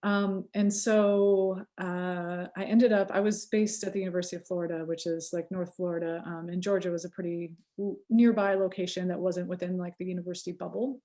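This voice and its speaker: Mellow, low-voiced woman chatting as guest. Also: flat delivery, a little fast, hardly any pauses. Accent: Californian. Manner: chatty, many fillers and self-corrections, laughs easily. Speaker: guest researcher. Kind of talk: casual interview conversation.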